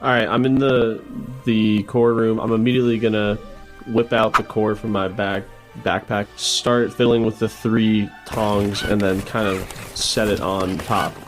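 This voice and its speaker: A man's voice: on the low side, level tone, measured pace. A man playing a tabletop role-playing game, speaking informally and brashly as he describes actions and slips into character. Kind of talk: casual in-character role-play. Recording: music behind the voice. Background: music.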